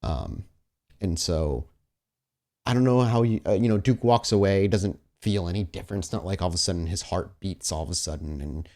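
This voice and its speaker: Low-voiced man running a tabletop game. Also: normal speed, a little mellow. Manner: conversational, descriptive, addressing the player directly. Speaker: game master of a tabletop roleplaying game. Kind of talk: improvised narration mixed with casual table talk.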